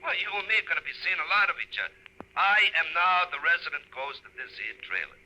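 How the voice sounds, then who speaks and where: An actor playing a chummy, mischievous hobo ghost in a 1950s radio horror-comedy, with an American accent and slangy, ungrammatical buddy talk. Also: male voice, a little high, very animated, quick pace, bright, forceful tone, full character voice. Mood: gleeful, chummy. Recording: muffled old radio recording.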